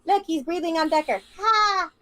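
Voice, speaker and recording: A woman's voice: very high voice, moderate pace, a bit bright. A woman in her thirties co-hosting a casual stream, playful and teasing with frequent giggles. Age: 30s. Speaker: livestream co-host. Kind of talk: casual chat.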